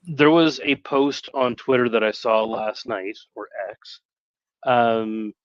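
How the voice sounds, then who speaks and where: A man with a mid-pitched voice talks casually on a live stream. He is halting and rambling, often correcting himself as he goes. The sound is dry and a little dull and lo-fi. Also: no echo.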